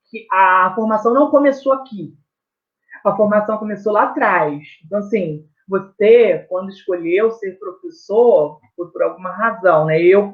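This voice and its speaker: A man's voice: sits high, muffled recording. A man with a Brazilian accent, a teacher explaining his research in conversation, reflective and emphatic, often adding tag questions.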